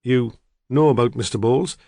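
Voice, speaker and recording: A man reading the lines of a calm, reserved police detective who speaks in a measured, quiet way. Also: medium pitch, creaky, dry recording, clean studio sound, lightly acted.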